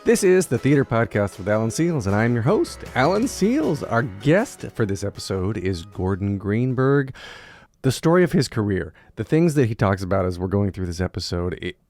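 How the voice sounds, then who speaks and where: A man's voice: on the low side, big pitch swings, normal speed, darkish tone, faint music behind. A man hosting a podcast, chatty and effusive as he introduces and interviews in an upbeat style. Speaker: podcast host.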